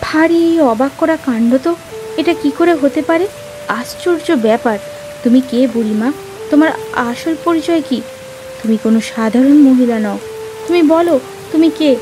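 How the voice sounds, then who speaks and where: A woman's voice: high-pitched, normal speed, long pauses, over music, natural voice. A woman playing a humble, hospitable poor village wife in a children's moral cartoon. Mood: awed.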